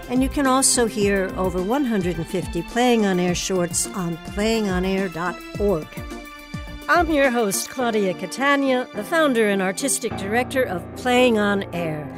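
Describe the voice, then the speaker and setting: A woman hosting a public radio arts show, speaking in a measured, articulate way. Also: medium pitch. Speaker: radio show host, founder and artistic director.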